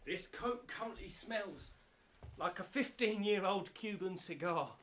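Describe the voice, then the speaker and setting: A male game streamer reacting live in a casual, joking style with plenty of swearing. His voice sits fairly high and swings widely in pitch, with faint noise behind it. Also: expressive, a little slow, fairly bright tone. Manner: jokey, sweary, exclamatory.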